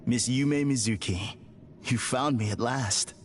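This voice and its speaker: A man voicing a serene, deluded zealot in a video game, speaking with formal, measured courtesy. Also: medium pitch, slight background noise, natural voice. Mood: serene, courteous. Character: eerily serene, polite, deluded male zealot. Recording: game music behind the voice.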